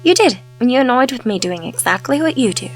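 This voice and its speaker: A woman playing a quick-witted, teasing female companion in an audio drama, with a sardonic way of talking. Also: on the high side, lively intonation, lightly acted.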